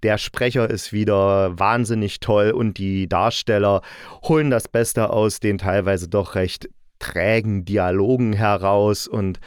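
A man's voice: lowish voice, fairly even intonation, measured pace, clear, resonant tone, no room sound, studio quality. A man hosting a casual spoken review, talking in an opinionated, nitpicking, colloquial way. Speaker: audio drama reviewer and host.

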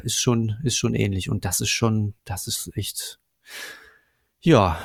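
A man's voice: lowish voice, fairly even intonation, slow, gravelly, dry recording, studio quality. A man talking casually and personally as a podcast co-host, informal and often rephrasing himself. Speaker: podcast co-host discussing radio plays and books.

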